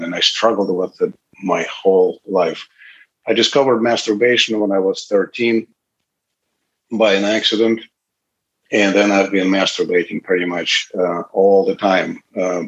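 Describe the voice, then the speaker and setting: Man, late fifties, very low voice, halting candid testimony, dull lo-fi recording. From Russia. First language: Russian. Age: 50s. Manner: candid, halting, pauses often. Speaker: speaker at a recovery meeting. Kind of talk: personal recovery testimony.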